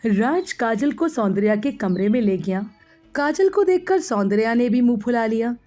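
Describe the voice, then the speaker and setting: Woman narrator for a moral story, highish voice, bit of music behind. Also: animated, moderate pace, mellow.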